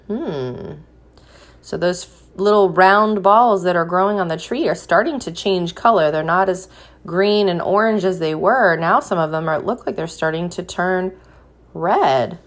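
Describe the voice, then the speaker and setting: A woman reading a picture book aloud like a teacher, pausing to put questions to young listeners and encourage them. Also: fairly low pitch. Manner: slow, deliberate, encouraging prompts.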